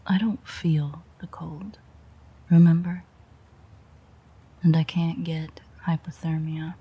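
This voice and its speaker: A woman playing a sardonic, teasing, world-weary female vampire in an intimate audio roleplay, with a breathy, husky, whispery voice and a dry manner. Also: deep voice, mellow, full character voice. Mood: sarcastic, teasing.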